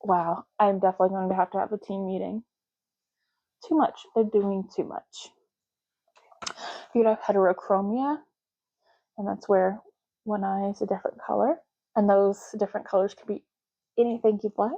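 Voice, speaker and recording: Soft spoken asmr lady, mellow voice, chatty clerk roleplay, kinda dull lo-fi sound. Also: mid-range voice, steady tone, normal speed, creaky, pauses often. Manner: casual, chatty, explanatory. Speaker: ASMR creator playing a clerk making ID cards.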